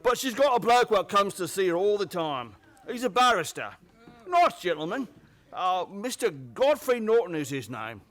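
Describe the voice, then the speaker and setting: A man's voice: sits high, big pitch swings, normal speed, fairly bright tone. An actor playing a friendly, folksy Cockney pub barman in a radio play. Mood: chatty, friendly. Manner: chatty, folksy, familiar.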